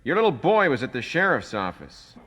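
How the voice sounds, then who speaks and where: Menacing crook boss, ringing reverb. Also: male voice, mid-range voice, sing-song, a bit bright, husky. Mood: smug menace. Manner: deliberate, smugly threatening. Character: menacing, suspicious criminal boss giving orders. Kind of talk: 1970s radio drama acting. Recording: reverberant 1970s radio drama recording.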